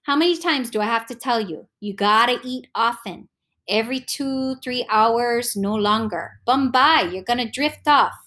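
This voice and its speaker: A woman reading an audiobook, voicing a bossy, caring older Hawaiian uncle with a Hawaiian Pidgin accent and a gruff, teasing way of ordering people about. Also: a little high, measured pace, sharp tone, voice pushed up. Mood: scolding. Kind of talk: audiobook character voice.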